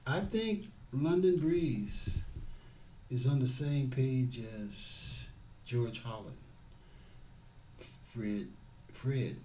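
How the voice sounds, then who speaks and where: A man's voice: medium pitch, animated, extremely slow, long pauses, mellow, a little hiss or hum, rough recording. A man who trains security guards, speaking in a casual interview and repeating his points for emphasis.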